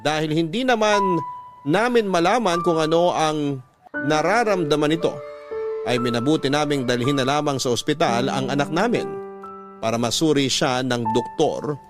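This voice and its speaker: A man playing an earnest young father who tells his love story in measured, sentimental first-person radio drama narration. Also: a little high, music in the background, close to an everyday voice. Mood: earnest, reflective.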